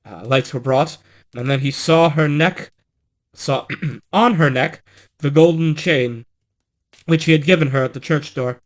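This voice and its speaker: A man works as a storyteller, reading bedtime tales aloud. His voice sits somewhat high for a man. The delivery is storybook narration. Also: a little slow, long pauses. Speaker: storyteller, streamer.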